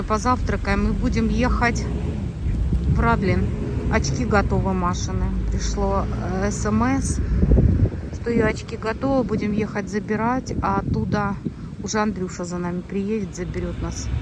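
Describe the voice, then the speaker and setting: A woman's voice: mid-range voice, steady tone, moderate pace. Chatty woman vlogging on her phone. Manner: chatty, talks to viewers and her pet. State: scratchy throat, coming down with a cold. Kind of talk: casual vlog chat to camera. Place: at home indoors, then at an outdoor bus stop. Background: wind and passing traffic noise.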